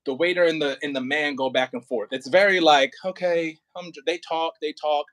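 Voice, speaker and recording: A man working as an audio play director and acting coach, talking casually and informally, with halting pauses and emphatic repetition. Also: a little high, fairly bright tone, no echo.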